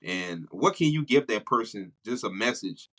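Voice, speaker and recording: A man hosting a podcast, his voice low but bright and forceful. He talks in a casual, emphatic way, peppering his lines with "man". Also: animated, moderate pace. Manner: warm-hearted, emphatic, frequent "man". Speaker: podcast host. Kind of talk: casual interview talk.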